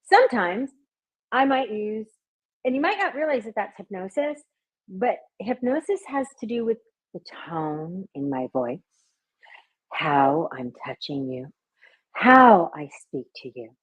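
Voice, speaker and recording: A woman with a gentle, soothing voice and a breathy, husky edge explains her hypnosis work in relaxed interview talk. Her pitch sits in an ordinary middle range for a woman. She laughs easily and sometimes sinks into a hushed, low murmur. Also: a little slow, low-quality recording. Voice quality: soft, soothing. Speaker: hypnotist and party performer. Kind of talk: conversational interview answers.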